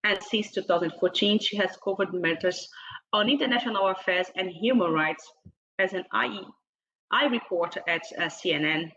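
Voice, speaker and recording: A woman moderating an online panel, introducing a speaker formally in a halting, hesitant way, partly reading from notes. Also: medium pitch, pushed and bright, lo-fi sound. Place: online video meeting.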